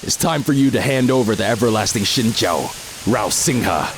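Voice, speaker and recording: An actor playing a cold, taunting masked mercenary in an audio drama, with a slow, menacing, deliberate delivery. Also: male voice, on the low side, animated, noisy background. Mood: cold menace.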